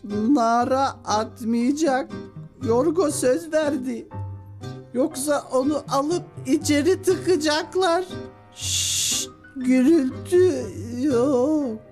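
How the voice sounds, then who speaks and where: Cartoon drunkard, high voice, very slow slurred singsong, promising to behave. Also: darkish tone, faint music behind. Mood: tipsy, singsong. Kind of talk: cartoon voice acting.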